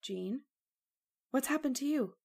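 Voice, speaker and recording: A woman's voice: high voice, animated, a little slow, gravelly, a little mellow, slightly rough, full character voice. A woman playing an anxious, devoted young woman in an old-time radio horror drama, earnest and melodramatic. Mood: frightened, frantic.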